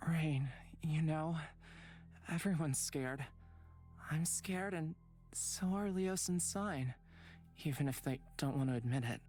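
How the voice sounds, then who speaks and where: A woman voicing a calm, gentle, considerate companion in a visual novel game, soft-spoken and tactful. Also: low voice, slow pace, faint music behind. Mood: reassuring.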